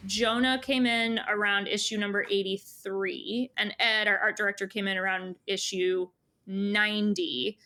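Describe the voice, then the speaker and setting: A woman's voice: medium pitch, steady tone, unhurried, sharp tone, soft background music. A woman speaking as an interview guest, casual and earnest, rambling and often correcting herself. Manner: rambling, earnest, many hedges and self-corrections. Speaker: magazine editor-in-chief, interview guest.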